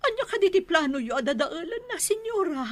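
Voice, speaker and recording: A woman's voice: very high voice, very animated, fairly quick. A woman with a Filipino accent playing a humble, worried mother defending her son in a radio drama. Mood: distressed.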